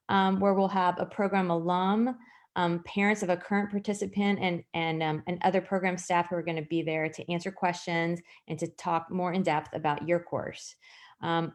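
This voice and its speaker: A woman's voice: on the low side, a little mellow. A woman hosting a livestream interview over a video call, enthusiastic and with lots of filler words. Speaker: host.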